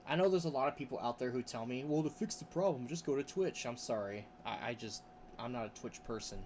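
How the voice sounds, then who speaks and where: A man's voice: fast, darkish tone. Rambling male streamer, faint background hiss. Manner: rambling, with restarts and frequent laughs; says his voice range is pretty high. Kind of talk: casual livestream chat, reading chat aloud.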